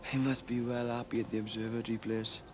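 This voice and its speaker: A man's voice: medium pitch, level tone, fast talker, darkish tone, a little hiss or hum, lightly acted. Blunt young working-class dad played by a man. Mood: relaxed, matey. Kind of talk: naturalistic video game voice acting.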